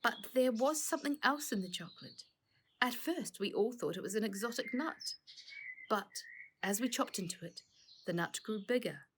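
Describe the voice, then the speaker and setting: A woman voicing a caring, calm mother, gentle and concerned, in a storybook reading. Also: fairly high pitch, normal speed, a little hiss or hum, lightly acted. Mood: explaining.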